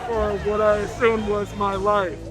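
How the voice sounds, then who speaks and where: A hoarse man speaks in a fairly high voice, earnestly recounting events. He is being interviewed outdoors on a city street. Music plays softly under the clip. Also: flat delivery, measured pace. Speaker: protester. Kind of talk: interview testimony.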